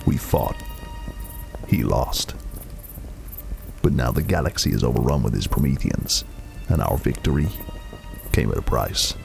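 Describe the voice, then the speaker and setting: Man as a gravelly, very deep stoic soldier. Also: a little slow, pauses often, over music, heavily acted. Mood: grim. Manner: terse, deadpan, restrained. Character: stoic, terse veteran super-soldier man. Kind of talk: science fiction audio drama acting.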